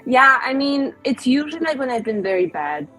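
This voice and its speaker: A woman's voice: high voice, very animated, normal speed, fairly bright tone, faint music behind, poor audio. A young woman playing a tabletop roleplaying game, chatting in character with lots of fillers and giggles. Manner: rambling, filler-heavy, giggly. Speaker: player.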